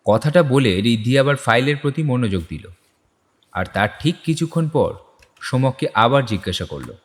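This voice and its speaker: A man's voice: medium pitch, lively intonation, moderate pace. A man narrating a scripted detective story as the storyteller, with long reverb on the recording.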